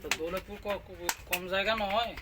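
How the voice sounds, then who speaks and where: A man's voice: sits high, fairly even intonation, moderate pace. A man chatting casually outdoors and giving easygoing directions and advice. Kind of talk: casual chat. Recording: loud outdoor noise with passing traffic.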